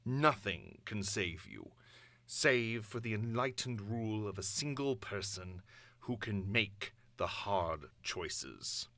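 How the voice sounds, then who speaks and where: A man performing a grandiose supervillain dictator's monologue, pompous and deliberate, addressing the world in imperious, theatrical declamation. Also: on the low side, sing-song, pushed and bright, no echo, heavily acted. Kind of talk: dramatic villain monologue.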